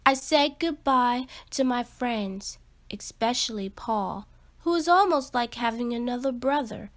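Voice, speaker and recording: Slow, high-voiced woman reading a storybook. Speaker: read-aloud storyteller. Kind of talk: expressive children's picture book read-aloud.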